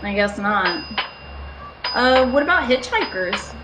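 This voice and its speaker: A woman's voice: medium pitch, very animated, slow, noisy background. A woman playing an uneasy, haunted motorist driving alone, telling her story dramatically in an amateur radio thriller. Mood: guarded, polite. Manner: dramatic first-person storytelling. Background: music.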